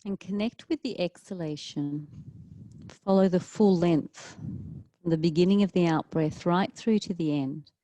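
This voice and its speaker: A woman with a low, soft-edged mellow voice guides a relaxation class as a yoga teacher. Her delivery is calm and soothing, with long pauses between gentle instructions. The sound is very dry and close, with no room around it. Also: no room sound.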